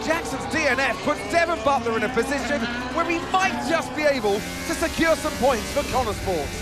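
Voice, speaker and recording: A man playing a Formula One commentator, calling a race live in an excitable manner. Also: extremely high pitch, brisk, over loud music. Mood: urgent.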